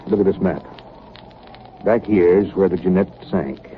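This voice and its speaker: A grave, steadfast expedition captain in 1930s radio style. His voice sits very deep and he speaks with measured authority. The old broadcast recording is boxy and noticeably hissy. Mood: resolute. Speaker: radio actor. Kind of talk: 1930s radio drama acting. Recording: muffled, noisy 1938 radio broadcast recording.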